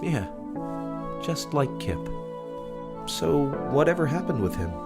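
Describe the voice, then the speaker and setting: A man's voice: on the high side, very animated, slow, creaky, over loud music, heavily acted. A man playing a casual, playful, chummy fellow in an audio drama. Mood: playful, curious. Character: casual, playful, chummy male listener with gadget.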